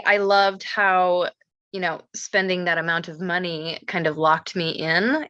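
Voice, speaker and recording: A woman's voice: on the low side, pushed and bright, no echo. A woman guest talking informally and candidly in a casual conversation. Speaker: writing course graduate, guest. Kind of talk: casual chat.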